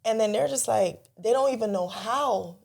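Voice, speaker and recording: A woman's voice: on the high side, moderate pace, vocal fry. A woman from Jamaica, a podcast guest telling her life story in earnest, casual conversation. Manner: earnest, storytelling, animated when recalling childhood.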